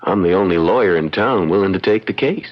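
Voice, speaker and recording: Casting: man playing young frontier lawyer, low voice, folksy, self-deprecating, courteous, muffled old radio drama. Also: a little fast, loud music behind the voice, lightly acted. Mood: humble, assured.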